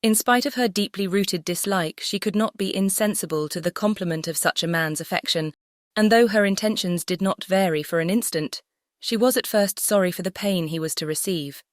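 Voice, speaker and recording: A woman's voice: medium pitch, normal speed, dry recording, studio quality. A woman narrating a classic novel as an audiobook, composed and carefully phrased. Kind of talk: scripted literary narration.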